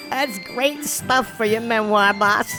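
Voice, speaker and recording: A woman's voice: on the high side, slow pace, music in the background. A woman playing a chipper, wry reindeer who serves as a loyal assistant in a comedy audio drama. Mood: eager.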